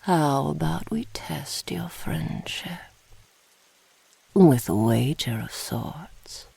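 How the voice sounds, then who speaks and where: A woman voicing an imperious, gloating dark mage in a fantasy ASMR roleplay, with a whispery, gravelly voice and haughty, archaic diction. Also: sits low, expressive, drawn-out words, dry recording, full character voice. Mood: taunting, cruel.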